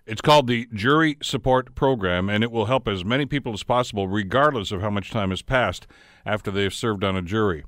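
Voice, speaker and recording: A man hosting talk radio with a slightly low voice. He works through his questions with many fillers and restarts. The sound is dry and close. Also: fairly bright tone, no echo. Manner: halting, frequent restarts and fillers. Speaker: radio talk show host.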